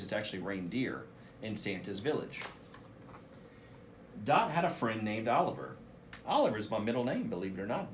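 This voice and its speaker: A man, a school principal, reading a picture book aloud for children in an expressive way, with casual asides. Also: on the low side, a little hiss or hum. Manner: expressive, addresses children directly.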